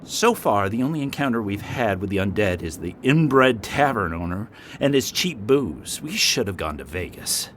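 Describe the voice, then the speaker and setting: Casting: jaded, condescending holidaymaker, sneering, extremely swooping intonation, horror audio play, noticeable noise behind. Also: male voice, on the low side, sing-song, moderate pace, few pauses, a bit bright. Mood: sarcastic, jaded. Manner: sneering, sarcastic. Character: snobbish, sarcastic male tourist, impatient and jaded. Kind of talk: audio horror drama acting.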